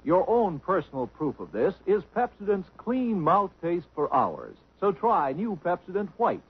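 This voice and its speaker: A man pitches a 1950s radio commercial with eager salesmanship. His voice is high and very raspy and swings lively, and the old broadcast sounds muffled. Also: measured pace. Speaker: commercial announcer.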